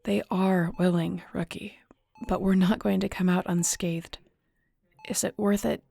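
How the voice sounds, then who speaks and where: A woman's voice: lowish voice, level tone, measured pace, gravelly, warm tone. A woman acting in an audio roleplay as a weary, guilt-ridden shifter investigator, speaking in a somber, conversational, confiding way. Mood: worried, heavy.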